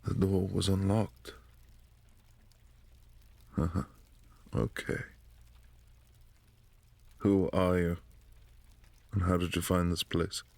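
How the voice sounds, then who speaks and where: A man playing a possessive, imperious vampire prince in a boyfriend ASMR roleplay, speaking in a formal, commanding, softly menacing way. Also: deep voice, flat delivery, slow, vocal fry, long pauses, dry recording, full character voice. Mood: cool, imperious.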